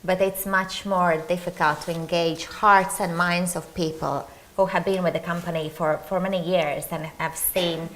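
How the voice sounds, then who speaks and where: Latvian woman panelist, lowish voice, careful and methodical. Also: steady tone, fairly bright tone, poor audio. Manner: measured, listing things one by one. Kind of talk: conference panel introduction.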